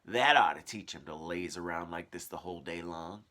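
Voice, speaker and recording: A man voicing a fat, cruel aunt in a children's storybook, loud and mean-spirited. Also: low-pitched, normal speed. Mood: spiteful. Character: fat, spiteful, cruel aunt plotting punishment. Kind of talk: children's storybook read-aloud.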